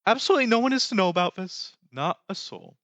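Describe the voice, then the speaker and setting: A man playing an excitable skeleton showman from a musical, high-voiced, grandiose and dramatic, moving between song and speech. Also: quick pace, no echo, lo-fi sound, full character voice. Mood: commanding. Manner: grandiose, sweeping, theatrical delivery. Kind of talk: theatrical musical performance, half sung and half spoken.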